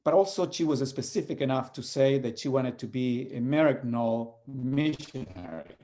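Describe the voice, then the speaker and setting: Guy reading a reflection, slow and earnest, mellow low-ish tone. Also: lively intonation. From El Salvador. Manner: earnest, measured, with pauses and fillers. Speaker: community organizer sharing a reflection. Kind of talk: spoken reflection and reading aloud.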